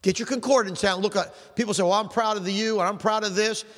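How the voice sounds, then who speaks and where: An older man preaching a sermon as pastor, with fervent emphasis, slowing down to stress scripture and putting questions to the congregation. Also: high voice, steady tone, a bit bright. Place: church sanctuary. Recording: long reverb of a large hall.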